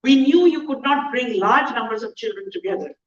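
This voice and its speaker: Indian woman keynote speaker, fairly high voice, earnest and measured, distant dull hall sound. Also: animated. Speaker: conference speaker. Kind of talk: conference keynote talk. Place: large conference hall.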